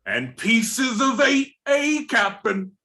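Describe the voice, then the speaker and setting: Long John Silver, animated old pirate. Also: male voice, very high voice, exaggerated voice. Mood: relishing, boastful. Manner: folksy sailor talk, drawn-out storytelling. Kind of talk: radio play reading. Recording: remote video-call reading.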